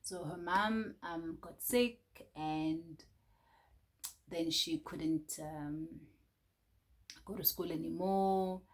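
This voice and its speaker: A woman's voice: fairly low pitch, drawn-out words, long pauses. A Nigerian woman reviewing a book in a casual chat, hesitant, with many fillers and restarts.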